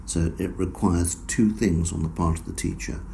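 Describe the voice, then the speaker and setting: Researcher explaining findings, deep and mellow, faint hiss behind. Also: male voice, steady tone, creaky. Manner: measured, explanatory, many fillers. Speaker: researcher guest. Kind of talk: academic interview answers.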